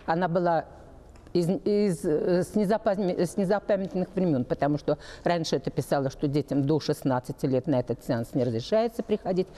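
An older woman speaks as a guest expert in a talk show studio. Her voice is mellow and dark, and she proceeds haltingly, repeating words to stress a point. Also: sits low. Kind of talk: giving an opinion on a talk show.

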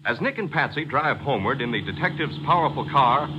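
A man's voice: medium pitch, expressive, fairly quick, dull audio, background noise. An American man announcing in scripted 1940s radio style, with a dramatic, emphatic and polished delivery. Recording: 1940s radio broadcast with music.

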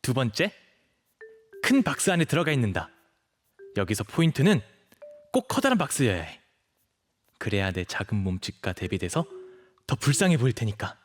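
A man plays a young dog shapeshifter in an audio drama, boyish and cutesy. His pitch swings in a lively way as he pleads eagerly. Light music plays behind him. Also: normal speed, pauses often. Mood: scheming, eager. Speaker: voice actor. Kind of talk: scripted Korean audio drama acting.

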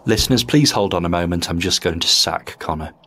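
A man's voice: sits low, a little fast, pushed and bright, slight background noise. A man hosting a podcast in a casual, rambling, self-deprecating chat style. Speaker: podcast host.

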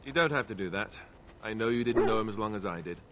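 An actor playing an earnest, awkward young warrior companion in a fantasy video game, hesitant and sincere. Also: male voice, on the low side, quick pace, background noise, lightly acted. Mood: grieving, regretful.

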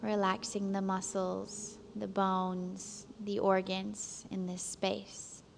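A woman instructor leading a guided relaxation, speaking calmly and gently, with long pauses between soothing instructions. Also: mid-range voice, creaky, slight background noise. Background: soft background music.

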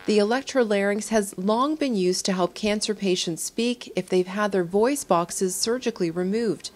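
A woman's voice: mid-range voice, moderate pace. A woman narrating a news report from a script.

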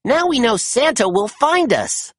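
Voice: medium pitch, very animated, unhurried, a bit bright, breathy edge, dry recording, pitched above the performer's own voice, full character voice. A storybook narrator voicing a plucky, eager young female rescue puppy with enthusiastic exclamations. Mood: excited.

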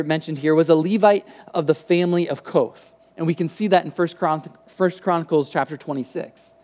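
Conversational pastor in a reverberant hall. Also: male voice, a little high. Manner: conversational, animated hand gestures, frequent restarts. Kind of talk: casual sermon. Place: church stage with lit patterned backdrop. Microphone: headset mic.

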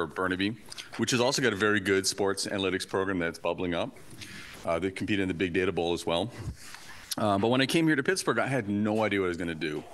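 A Canadian-raised man whose first language is Portuguese, giving a lively, joking academic talk in a lecture hall. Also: fairly low pitch, slight background noise. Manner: lively, chatty, joking asides. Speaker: invited conference speaker.

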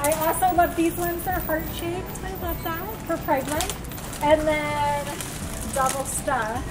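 A woman's voice: high-pitched, fairly even intonation, slow pace, rough recording. An American woman in her thirties chatting casually and expressively to a handheld camera, as in a family vlog. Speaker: family vlogger. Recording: music playing behind the voice.

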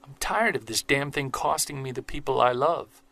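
An actor playing an earnest, soft-spoken Bigfoot investigator who narrates his own story in an audio drama. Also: medium pitch, moderate pace, vocal fry. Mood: pleading.

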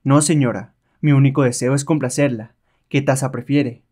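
A man's voice: medium pitch, steady tone, moderate pace, dark tone, lightly acted. A man playing a sly, rebellious male adventurer held as a slave, mocking and self-assured, in a comic-book reading. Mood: mock-polite.

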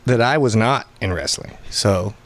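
A man's voice: fairly low pitch, lively intonation, normal speed. A young man chatting casually and jokingly as he hosts a podcast quiz in a small room. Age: young adult. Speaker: podcast host and quizmaster.

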